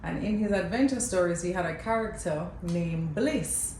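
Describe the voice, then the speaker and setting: A young Jamaican woman casually telling a family story to camera, chatty and lively, laughing often between lines. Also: fairly low pitch, moderate pace. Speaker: mother telling her son's birth story. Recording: echoey room with faint background noise.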